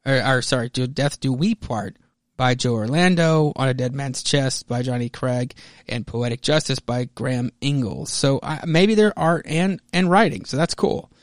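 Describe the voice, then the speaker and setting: A man hosting a solo podcast in a casual, rambling, halting way, often correcting himself as he goes. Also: mid-range voice, no echo, studio quality. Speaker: podcast host.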